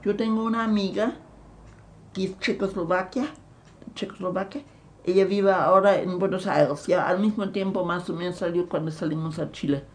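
An elderly woman with a German accent, recalling her past in an interview, halting and repeating words as she searches for them. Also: lowish voice, steady tone. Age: older. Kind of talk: recounting memories in an interview.

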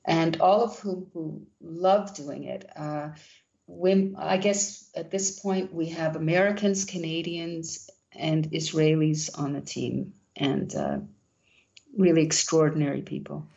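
A woman who runs a literary journal speaks in a low voice with a gravelly edge. She answers at length, gently, and often restarts her sentences. The recording sounds slightly dull. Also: fairly even intonation, slow. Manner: gentle, warm, with many restarts. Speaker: founding editor of a literary journal. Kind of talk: interview answers.

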